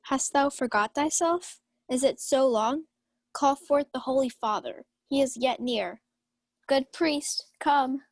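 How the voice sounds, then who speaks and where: Casting: young woman as an imperious noblewoman in love, high voice, dry sound, radio-play Shakespeare. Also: takes it slowly, dry recording, exaggerated voice. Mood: distressed. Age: young adult. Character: proud, passionate young noblewoman, a Countess in love.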